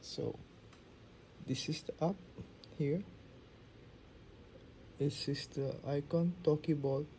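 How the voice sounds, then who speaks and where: A man's voice: fairly high pitch, fairly even intonation, slow pace, long pauses, mellow, a little hiss or hum. A man giving a casual narrated demo as he reviews a phone app.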